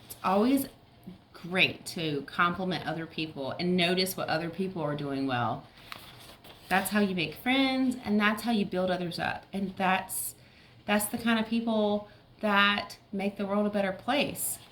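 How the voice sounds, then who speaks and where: A woman's voice: medium pitch, unhurried, fairly bright tone. Woman reading to young kids, background noise. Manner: simple child-directed phrasing with check-in questions. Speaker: teacher reading a picture book aloud to young children. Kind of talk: children's read-aloud with explanatory asides.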